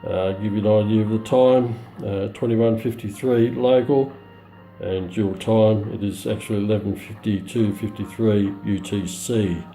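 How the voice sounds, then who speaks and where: A middle-aged man giving an unscripted product demonstration in a halting, matter-of-fact way. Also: on the low side, fairly even intonation, dark tone, echoey room, soft background music. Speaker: presenter of a radio review. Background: shortwave radio broadcast playing.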